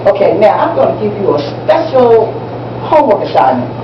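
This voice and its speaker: A woman's voice: medium pitch, sing-song, a little fast, close to an everyday voice. A woman playing an enthusiastic American schoolteacher on stage in an amateur play, speaking didactically and repeating and spelling out key words. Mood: instructive. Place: indoor room at a public library. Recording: distant, echoey, muffled, noisy room recording.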